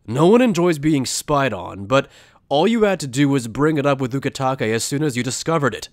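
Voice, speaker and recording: A man playing an earnest, suspicious soldier in an anime fan dub, stern and confrontational, with gravel in his voice. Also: mid-range voice, animated, a little fast, dry recording, studio quality, close to an everyday voice.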